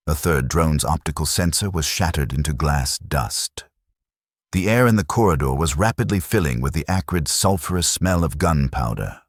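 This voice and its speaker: A man narrating a science fiction audiobook in a dramatic, measured delivery. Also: rumbling low, slightly rough, no room sound, clean studio sound.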